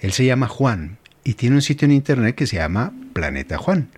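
A middle-aged man hosting a radio interview, reflective and halting, with frequent fillers. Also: mid-range voice, very animated, slightly rough. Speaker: radio host.

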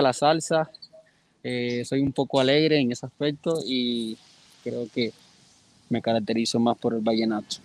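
A man's voice: mid-range voice, a little mellow. A man from Cartagena with a Colombian Caribbean coast accent, a school psychologist introducing himself politely with a few hesitant fillers. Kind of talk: radio-style talk introduction.

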